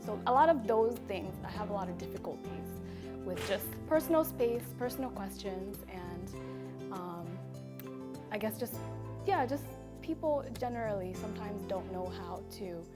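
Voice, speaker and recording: A woman's voice: medium pitch, expressive, normal speed. A woman in her twenties giving candid interview answers in a careful, apologetic, hedging way. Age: 20s. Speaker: interviewee, model. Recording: music behind the voice.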